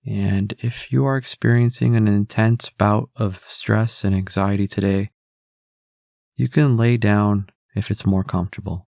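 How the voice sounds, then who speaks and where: A man leading a guided meditation in a calm, measured, instructional way. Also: fairly low pitch, vocal fry, no room sound, studio quality.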